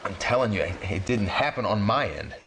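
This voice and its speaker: A man's voice: lowish voice, lively intonation, a little fast, fairly bright tone, rough recording. A man acting a jittery rebel tech operator in a game cutscene, talking in casual jargon, with some noise behind him. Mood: baffled, defensive.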